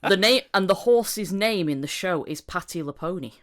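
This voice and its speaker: A woman's voice: fairly low pitch, expressive, moderate pace, crowd noise. A woman speaking conversationally as the host of a podcast about voice acting. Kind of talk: casual podcast chat.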